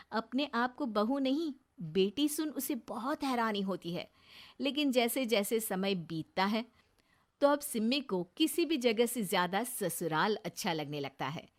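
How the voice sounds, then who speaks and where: A woman's voice: mid-range voice, very animated, measured pace. A woman narrator reading a scripted moral story for a cartoon.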